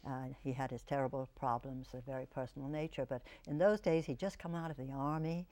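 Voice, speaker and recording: An older woman, an actress, reminiscing in an interview, telling stories in a reflective, halting way. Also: extremely low pitch, fairly even intonation, darkish tone.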